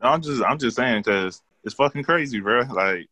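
A man with a deep voice chatting casually, swearing and using lots of fillers. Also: animated, moderate pace, sharp tone, no room sound. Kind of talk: casual chat.

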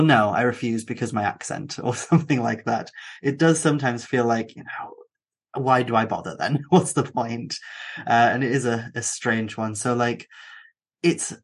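A man hosting a podcast, talking in an animated, opinionated way and laughing often, recorded on a computer mic over a video call. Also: medium pitch, husky. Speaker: podcast host. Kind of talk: casual podcast conversation. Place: at home in front of a computer.

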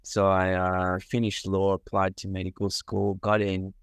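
A man from Sri Lanka, a medical doctor, answering interview questions earnestly, pausing often with fillers. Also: deep voice, completely flat delivery, clean tone, no room sound, studio quality. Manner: earnest, with frequent pauses and fillers.

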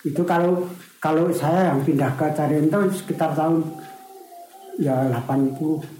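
A man's voice: fairly high pitch, normal speed, dark tone, reverberant, a little hiss or hum, poor audio. A man in his sixties from East Java with a Javanese accent, reminiscing hesitantly in a casual interview, with many fillers and restarts. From East Java, Indonesia. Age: 60s. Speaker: interviewee, retired village official.

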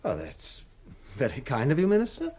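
Formal gentleman surgeon, expressive pitch. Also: normal speed, slightly rough. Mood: reserved, courteous. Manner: formal, understated, courteous. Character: reserved, polite London brain surgeon, visiting stranger. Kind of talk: radio drama acting.

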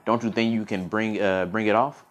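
A man's voice: on the low side, fairly even intonation, normal speed, voice pushed up, natural voice. A man voicing a small, plucky male quail in a fable, bold and challenging. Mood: defiant. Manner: exclaiming, challenging.

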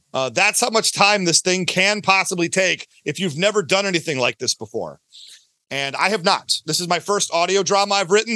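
A man's voice: sits high, sharp tone, no echo. A talkative, enthusiastic man chatting casually as a podcast guest, promoting his own work in a self-deprecating way. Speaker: podcast guest, audio drama creator.

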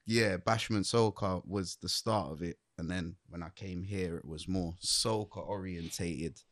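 Guest, young man with a Barbadian accent, low voice, relaxed and jokey. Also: unhurried, dry recording, clean studio sound. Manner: laid-back, laughs often, speaks in a Caribbean-British slang mix. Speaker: guest soca artist. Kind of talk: casual podcast chat.

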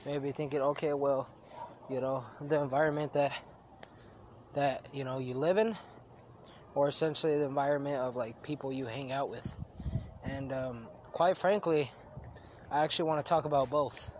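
A man's voice: medium pitch, fairly even intonation, moderate pace, pauses often, darkish tone, a little hiss or hum. Sniffly man rambling on his podcast. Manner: rambling, many fillers and restarts. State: sniffly with a cold. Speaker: podcast host. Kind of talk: casual solo podcast talk.

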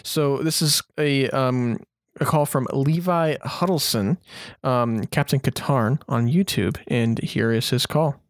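A man of medium pitch hosts a casual podcast. He speaks informally and a bit haltingly, in a dry recording with very little room sound. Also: dry recording. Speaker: podcast host. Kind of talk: casual chat, hosting listener questions.

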